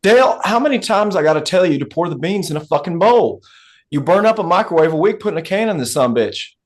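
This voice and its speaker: A man playing a gruff, sarcastic, profane oilfield fixer in a script read, talking in a blunt, folksy way. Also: sits high, animated, fast. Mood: exasperated.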